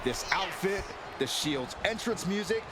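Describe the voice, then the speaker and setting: A man's voice: high-pitched, animated, measured pace. A man giving earnest color commentary as a wrestling commentator in a video game. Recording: loud arena noise behind voice.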